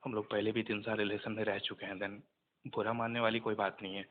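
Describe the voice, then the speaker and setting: A young man talking casually on a recorded phone call, subdued and earnest, with hesitant pauses. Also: on the low side, flat delivery, slight background noise.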